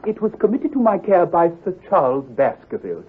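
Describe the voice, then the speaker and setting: An actor playing an earnest, amiable, worried young country doctor, formal and fussy, in an old-time radio drama. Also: male voice, high-pitched, very animated, a little fast, slight background noise. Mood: grave. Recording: muffled, lo-fi vintage radio recording.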